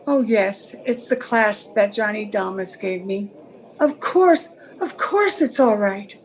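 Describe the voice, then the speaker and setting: An actress playing a sentimental, lovelorn married woman in old-time radio melodrama, speaking earnestly in halting phrases. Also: mid-range voice, animated, a little hiss or hum, poor audio. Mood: grateful, sad.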